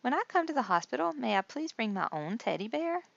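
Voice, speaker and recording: A woman's voice: fairly high pitch, sing-song, a little fast, vocal fry, no echo, dull audio, voice pushed up. A woman voicing a shy, worried young boy monster puppet in a plaintive, polite, childlike way for a storybook. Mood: polite, eager.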